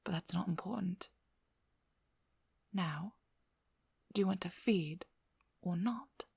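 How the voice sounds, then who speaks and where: Female vampire hunter, played by a woman, ASMR audio drama: firm, protective, dryly wry. Lowish husky voice, measured delivery falling often to a whisper. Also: a little mellow, dry recording, full character voice. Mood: guarded, teasing. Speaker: ASMR role-play performer. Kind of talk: intimate ASMR role-play acting. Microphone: close microphone.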